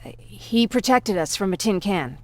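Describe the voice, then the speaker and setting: An actress playing a shaken, sincere young woman who survived a war, confiding haltingly in video game drama dialogue. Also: medium pitch, animated, no echo, lightly acted. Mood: grieving, shaken.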